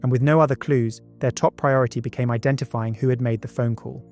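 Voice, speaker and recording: A man's voice: medium pitch, fairly even intonation, fast, a little mellow. Male true-crime narrator over music. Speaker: documentary narrator. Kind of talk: scripted true-crime narration with conversational asides. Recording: music bed behind the voice. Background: background music.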